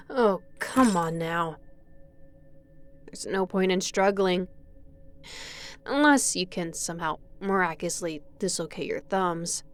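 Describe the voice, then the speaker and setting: A woman playing a menacing, mocking lighthouse keeper who taunts her captive in a slow thriller audio roleplay, slipping into breathy whispers. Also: on the low side, expressive, slight background noise.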